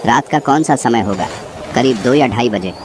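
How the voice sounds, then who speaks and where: A man's voice: medium pitch, lively intonation, moderate pace. Male horror story narrator over background noise.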